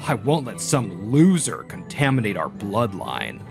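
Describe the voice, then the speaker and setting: A man's voice: lowish voice, wildly swooping pitch, unhurried, gravelly, over music, heavily acted. A man playing a pompous, snobbish older company president and proud father in a manga dub. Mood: smug.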